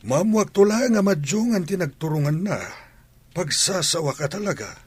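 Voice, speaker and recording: Husky, animated suspicious man acted out. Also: high-pitched, moderate pace, dry recording. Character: suspicious man of the household, distrustful of the daughter. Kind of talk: Ilocano radio drama acting.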